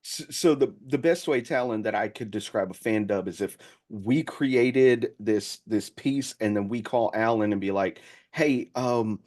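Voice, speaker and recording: A man's voice: lowish voice, unhurried, hardly any pauses, a little mellow. A man hosting a casual interview, feeling his way through questions with hesitant, stammering phrasing. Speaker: podcast interviewer.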